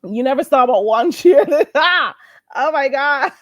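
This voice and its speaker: A woman's voice: high voice, expressive, measured pace, no echo, clean studio sound. A woman hosting a live stream, trading blunt, profane gossip and greeting her viewers, a little stuffy-nosed. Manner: greets and thanks viewers mid-talk. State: stuffy nose. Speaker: livestream host.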